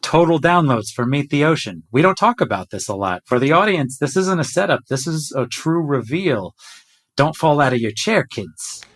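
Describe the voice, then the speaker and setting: A man's voice: on the high side, very animated, moderate pace. A middle-aged man hosting a podcast conversation over a video call, enthusiastic as he shares numbers with his team. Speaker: podcast host. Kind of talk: casual chat.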